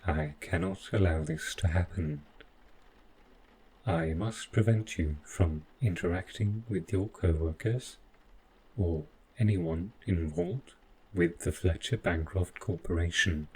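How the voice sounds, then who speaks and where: A deep-voiced male robot butler, acted out by a man for an audio roleplay. He speaks very slowly in formal, clinical phrases, devoted and possessive with a calm menace. Faint noise sits behind the voice. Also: poor audio. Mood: controlled, insistent. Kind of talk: scripted audio roleplay addressed to the listener.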